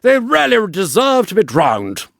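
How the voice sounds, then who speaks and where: A man's voice: high voice, wildly swooping pitch, measured pace, vocal fry, no echo, studio quality, pitched above the performer's own voice, exaggerated voice. A man voicing a pompous, self-centred old male water rat in a storybook, with haughty, exclamatory delivery. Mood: indignant. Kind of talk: storybook character voice.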